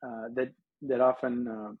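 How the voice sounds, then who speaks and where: A man's voice: medium pitch, little pitch movement, unhurried, slightly rough, narrow, tinny audio. An American man from Colorado answering interview questions conversationally, with anecdotes, as a guest from a missions organization. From United States, Colorado.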